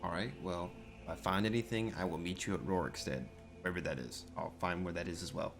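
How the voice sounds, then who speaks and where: Deep male voice, musing, low-key, casual in-character gameplay commentary, clear background music. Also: quick pace. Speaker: video game role-player.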